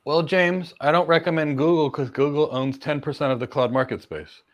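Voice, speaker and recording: A man's voice: fairly high pitch, highs cut off. Confident man coaching on cloud careers. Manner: confident, talks in long runs with repeated words and quick asides. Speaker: cloud career coach answering viewer questions. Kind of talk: live Q&A, casual explanatory talk.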